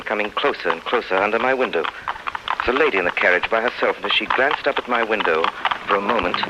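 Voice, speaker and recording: A man's voice: lowish voice, heavy background noise, natural voice. An actor playing a saddened, earnest young man who tells of his past and his dreams in 1930s radio drama style. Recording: dull 1930s radio recording, loud music behind.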